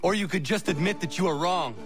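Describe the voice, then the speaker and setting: An actor playing a proud, earnest young assassin in a video game, speaking in formal, stiff, measured phrases. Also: male voice, high voice. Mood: defiant. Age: young adult. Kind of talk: video game cutscene acting. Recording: music behind the voice.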